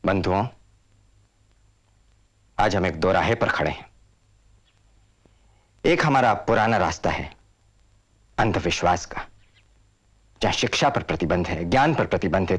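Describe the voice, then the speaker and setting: An actor playing a passionate, indignant social reformer in a period drama, declaiming like an orator. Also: male voice, sits low, very animated, unhurried, long pauses, slightly rough, heavily acted. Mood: earnest oratory. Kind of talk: Hindi period drama acting.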